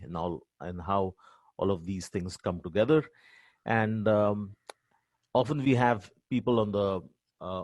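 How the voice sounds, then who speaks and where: A middle-aged man hosting a podcast interview, asking halting, filler-heavy questions. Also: lowish voice, a little mellow, no room sound. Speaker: podcast co-host, professor.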